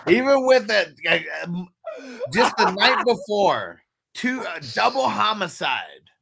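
A man with a somewhat high, very bright voice leads a casual film discussion. He sounds like a podcast host steering the conversation among friends. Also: sing-song, slow pace, creaky, slight background noise. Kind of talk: casual movie review chat.

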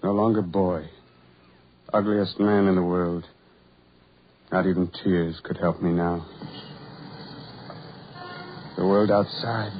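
An actor playing a tormented, lonely ugly sideshow man, brooding and dreamy in halting, broken phrases, in the style of 1940s radio horror. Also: low voice, fairly even intonation, a little hiss or hum. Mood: bleak resignation. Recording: muffled vintage 1940s radio recording.

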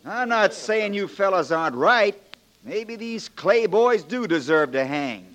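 An actor in a 1940s radio drama playing a young frontier lawyer, folksy, plain-spoken and wry but firm. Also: male voice, sits high, expressive, unhurried, a bit bright. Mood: earnest, persuasive. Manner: folksy, plain-spoken, joking, homespun turns of phrase.

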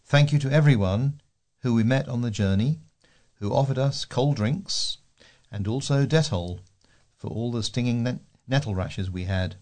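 A man with a middling pitch reads correspondence from a local paper. He sounds like a volunteer newsreader working through written letters for listeners. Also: normal speed, a little mellow. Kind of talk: reading readers' letters aloud for a talking newspaper.

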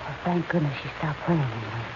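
A woman's voice: deep voice, animated, fast, background noise. An actress playing a nervous, loyal female sidekick to a detective in a 1940s radio mystery. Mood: frightened, hesitant. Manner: nervous, hesitant, wry. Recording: muffled old radio recording, loud music behind.

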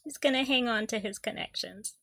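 A woman's voice: a little high, fairly quick, fairly bright tone, no echo, studio quality. A woman co-hosting a podcast, chatting casually and enthusiastically as a fan, with a giggly manner. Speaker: podcast co-host.

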